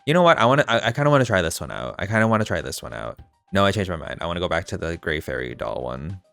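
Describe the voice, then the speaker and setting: Deep-voiced young man with vocal fry. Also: sing-song, rapid-fire, a bit bright, faint music behind. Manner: chatty, campy, self-mocking asides. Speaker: gaming video host. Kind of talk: casual rambling commentary while playing.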